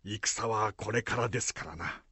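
A man acting in a Japanese radio drama as a loyal, deferential warrior-monk retainer, with a rough, gravelly voice and archaic, respectful speech. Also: lowish voice, moderate pace, sharp tone, dry recording.

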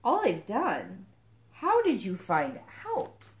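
A woman's voice: medium pitch, huge pitch swings, takes it slowly. A woman voicing a plucky, self-important male frog in a theatrical, grandiose manner for a storybook. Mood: surprised.

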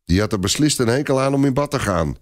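A coarse soldier from a medieval tale, acted by a man reading aloud. He is blunt and jeering, and his voice sits high and pushed. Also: animated, quick pace, no room sound, clean studio sound, lightly acted. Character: coarse, mocking medieval soldier stripping the dead.

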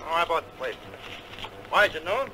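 A man's voice: on the high side, sing-song, a little fast, noisy background. An actor playing a young male investigator undercover as a news seller in a 1960s television detective drama. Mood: casual.

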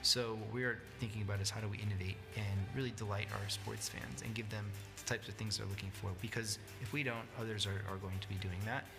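A man's voice: on the low side, steady tone, quick pace, gravelly, hardly any pauses. A man speaking as a business partner in a promotional interview. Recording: promo video with music underneath. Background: instrumental music.

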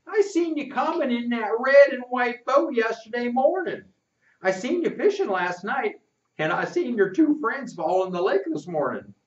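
Man putting on a squeaky scruffy boy. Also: big pitch swings, normal speed. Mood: earnest. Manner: rustic ungrammatical talk. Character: scruffy boastful boy of about ten. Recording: roomy and distant, muffled.

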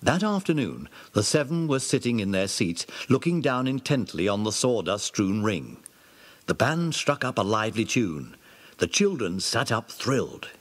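Husky, animated male storyteller. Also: on the low side, normal speed. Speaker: narrator. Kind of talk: scripted storybook narration.

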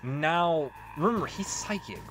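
A man's voice: on the high side, animated, a little slow, over music. A man giving casual, informal let's play commentary, explaining things as he plays. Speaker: gaming YouTuber.

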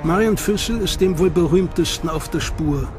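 Higher-pitched male documentary narrator. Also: normal speed, over music.

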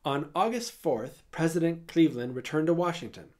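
A man's voice: a little high, darkish tone. Man narrating history with dry asides. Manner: measured storytelling with dry asides. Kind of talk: scripted narration.

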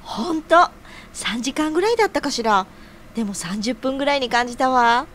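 Over light background noise, a woman acts out a young office senior in a radio drama. She is playful and nosy, her high voice swinging freely as she teases. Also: lively intonation, moderate pace, fairly bright tone. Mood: cheerful. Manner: feminine sentence endings, playful. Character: teasing, nosy, cheerful young female senior colleague. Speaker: voice actor in an audio drama. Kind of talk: audio drama dialogue.

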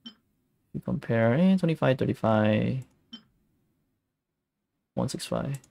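A man giving casual, low-key gameplay commentary as a streamer, half muttering to himself. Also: animated, extremely slow, gravelly, darkish tone. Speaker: game streamer. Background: game music.

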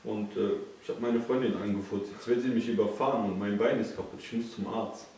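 A man's voice: fairly low pitch, fairly even intonation, a little fast, crowd noise. A man in his twenties talking casually, as in a prank phone call. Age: 20s. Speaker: guest on relative's vlog. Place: living room, indoors. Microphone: camera a few feet away. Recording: noisy echoey room, distant microphone.

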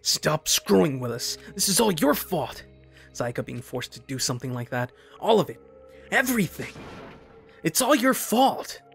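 A man voicing an earnest, anguished schoolboy in a mystery video game, halting and dramatic. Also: on the high side, sing-song, gravelly, a bit bright, soft background music, full character voice. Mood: angry, accusing.

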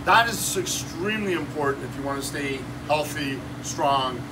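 A man in his fifties, a shop owner giving an energetic, salesy cooking demo in a small shop office, filmed on a phone. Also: a little high, moderate pace. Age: 50s. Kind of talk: casual promotional demo. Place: small shop office with kitchen counter, indoors. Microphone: phone camera held by someone nearby. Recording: distant phone audio with room noise.

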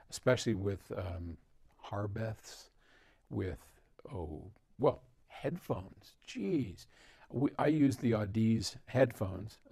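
A man's voice: mid-range voice, creaky, a little mellow, slightly rough, dry recording. An older man hosting a casual talk to camera, answering a viewer's question in a chatty, rambling way with frequent laughs. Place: large listening room with speakers.

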